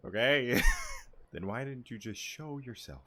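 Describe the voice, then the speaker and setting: A man's voice: mid-range voice, animated, unhurried, sharp tone, a little hiss or hum. A man casually reacting to a game story, chatty and laughing, with muttered asides. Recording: game music playing behind.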